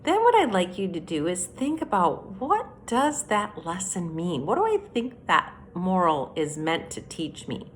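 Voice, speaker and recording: Woman teacher with lively, swooping intonation. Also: on the low side, slight background noise. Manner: deliberate, instructive, addressing young children. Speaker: elementary school teacher. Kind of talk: children's lesson and story read-aloud.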